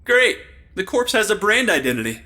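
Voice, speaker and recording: A man's voice: high voice, big pitch swings, normal speed, sharp tone, heavily acted. A man playing a sarcastic, exasperated sidekick in a comedy audio drama. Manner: wisecracking, sarcastic.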